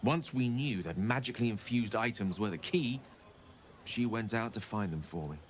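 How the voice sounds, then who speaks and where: An actor playing an erudite, wistful gentleman wizard in a video game, with florid, theatrical and articulate phrasing. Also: on the low side, animated, moderate pace, a little hiss or hum, natural voice. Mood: reflective, earnest. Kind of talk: video game character dialogue.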